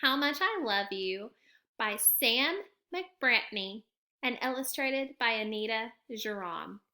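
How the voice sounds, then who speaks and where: A woman reading a children's story aloud as a storyteller, with expressive, emphatic narration. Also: fairly high pitch, slow.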